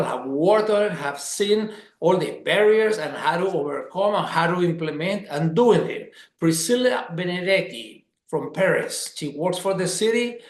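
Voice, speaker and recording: High-voiced man hosting a webinar. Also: roomy sound. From Colombia. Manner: enthusiastic, emphatic, repeats words for stress. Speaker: webinar host. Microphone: video call.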